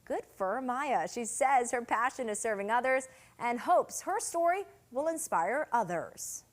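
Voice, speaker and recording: Bright-voiced female news anchor. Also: on the high side, lively intonation, measured pace. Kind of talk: scripted news presentation.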